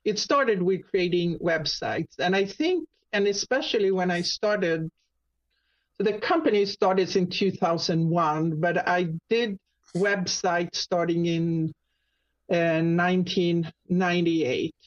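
A woman from Sweden with a Swedish accent, a guest telling her career story in a talk show interview, pausing often with ums and restarts. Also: fairly low pitch, lo-fi sound. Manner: halting, many ums and restarts. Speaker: guest, marketing business owner.

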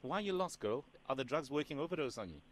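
A man's voice: mid-range voice, animated, fast talker, slight background noise, lightly acted. A man playing a sassy, overfamiliar prank caller who keeps calling the listener "girl" and "doll". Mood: sassy teasing. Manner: teasing, calls her "girl" and "doll". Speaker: radio host doing a prank call.

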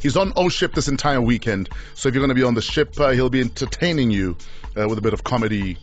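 A man's voice: mid-range voice, fast talker, soft background music. A man hosting a radio show in a casual, chatty way from the studio. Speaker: radio host.

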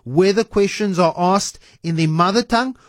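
A South African man, raised with Afrikaans, hosting a radio interview in a measured, explanatory way. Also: high-pitched, no room sound, studio quality. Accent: South African English. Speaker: radio host.

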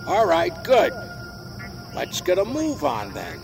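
An actress playing a no-nonsense police officer in an audio drama, brusque and commanding. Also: female voice, lowish voice, huge pitch swings, slow pace, gravelly, music in the background, exaggerated voice. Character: no-nonsense, bewildered police officer on park patrol.

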